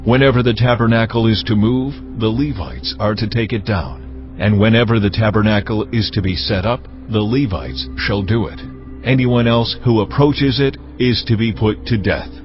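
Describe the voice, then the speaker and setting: A man's voice: on the low side, natural voice. A man playing God as a solemn, authoritative lawgiver in a dramatised scripture reading. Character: God, commanding, solemn male divine lawgiver. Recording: slightly dull audio with music underneath. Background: faint music.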